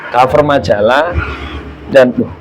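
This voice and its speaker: A storytelling man in his thirties talks at an ordinary middle pitch, rambling warmly through his own story with lots of little fillers. He keeps turning to the listeners as friends, relaxed and conversational. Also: slow, a little hiss or hum. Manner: chatty, warm storytelling with many fillers; speaks to the audience as "friends". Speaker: children's storyteller, guest. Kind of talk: casual interview chat.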